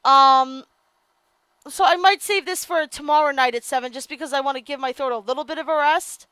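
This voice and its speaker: A woman's voice: high voice, a little fast, bright, forceful tone, no room sound. A young woman game streamer reading a visual novel aloud and breaking off into chatty asides to her viewers, her voice strained from hours of talking. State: losing her voice.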